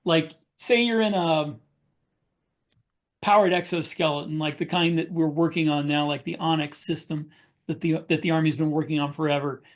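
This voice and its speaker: A man with a noticeably high-pitched voice chats as a podcast panelist. His delivery is halting and rambling, as in a loose group discussion. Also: steady tone.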